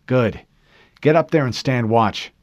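A man's voice: mid-range voice, a little slow, voice pushed up, lightly acted. A man voicing a desperate male marine corporal calling urgently over the radio. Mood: tense, weary. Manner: urgent, shouted radio reports. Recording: dull lo-fi dry recording.

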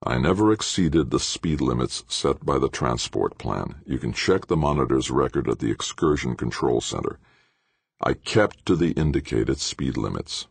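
A man voicing a restrained, reasonable engineer in an audiobook, speaking in a soft, measured manner. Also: a bass voice, lively intonation, gravelly, husky, dry recording, exaggerated voice. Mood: calm. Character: restrained, soft-spoken male engineer, wronged but reasonable.

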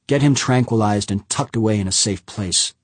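A man's voice: on the low side, moderate pace, no room sound, lightly acted. A man voicing a shaken male executive who gives terse, clipped orders. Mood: grim.

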